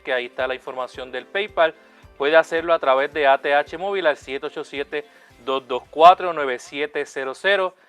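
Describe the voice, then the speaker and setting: A man's voice: mid-range voice, fairly bright tone, smooth, dry recording. A man hosting a religious talk show, speaking in a friendly way and often adding "¿verdad?". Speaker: host, Capuchin friar.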